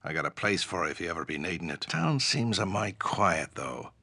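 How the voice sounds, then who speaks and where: An actor playing a gruff, wry but good-hearted ship's captain in a fantasy video game, with salty, colloquial seafaring speech. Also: male voice, sits low, sing-song, brisk, sharp tone, dry recording, full character voice. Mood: easygoing.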